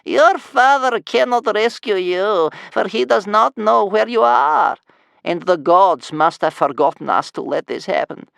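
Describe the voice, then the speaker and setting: Man playing a raspy, furious old grandmother, loud and high. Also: expressive, a little slow, dry recording, heavily acted. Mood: bitter, doom-filled fury. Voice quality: rasping. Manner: stern, venomous, loud declamation. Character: furious doom-filled scary old Viking-captive grandmother, cursing.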